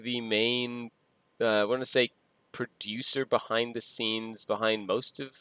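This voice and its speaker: A man's voice: medium pitch, fairly bright tone, clear, resonant tone, no echo. A man hosting a casual hobby chat show, a little sniffly from a cold, upbeat and chatty with plenty of fillers. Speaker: host.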